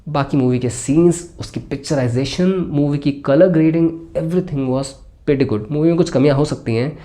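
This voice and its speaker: A man with a mellow, relaxed voice and an Indian accent talks through a film review. He explains his points conversationally as the host, slipping into English phrases along the way. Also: medium pitch.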